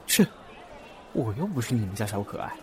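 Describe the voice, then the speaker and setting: An actor playing a good-natured, lovestruck young boyfriend with a mock-dramatic manner in a Mandarin radio drama. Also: male voice, on the low side, big pitch swings, fairly quick, crowd noise. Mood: joking, reluctant. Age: young adult.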